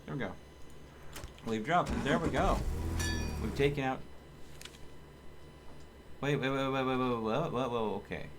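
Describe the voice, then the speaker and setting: A man's voice: mid-range voice, measured pace, pauses often, noisy background. A man giving casual live game commentary, thinking aloud and talking to his viewers. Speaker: video game live streamer.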